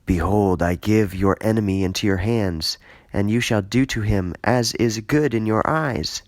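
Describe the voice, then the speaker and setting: A man's voice: lowish voice, unhurried, dry recording, studio quality, lightly acted. A man reading scripture aloud, voicing eager soldiers who urge their leader in a raised voice. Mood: urging.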